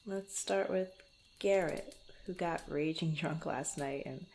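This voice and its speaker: A woman playing a guarded, bantering young swordswoman in an intimate fantasy ASMR roleplay, speaking haltingly to the listener. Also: low-pitched, a little mellow, a little hiss or hum. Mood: playful, teasing. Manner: flustered, bantering, halting, often sighing or chuckling. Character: guarded, teasing young adventurer swordswoman, former street thief.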